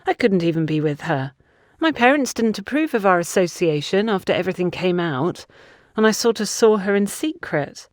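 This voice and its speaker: A woman's voice: medium pitch, animated, moderate pace, dry recording, natural voice. Audiobook role: defensive young woman, performed by a female narrator, pleading her innocence in a dry, close recording. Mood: defensive, insistent. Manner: insistent, self-justifying. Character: defensive young woman excusing herself to accusers. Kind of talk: audiobook character dialogue.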